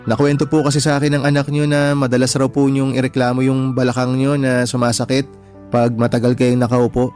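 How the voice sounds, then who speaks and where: A man's voice: medium pitch, level tone, a little fast, darkish tone, faint music behind, close to an everyday voice. A man acting in a radio drama as a meek young son-in-law, respectful, earnest and pleading. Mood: reassuring. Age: young adult.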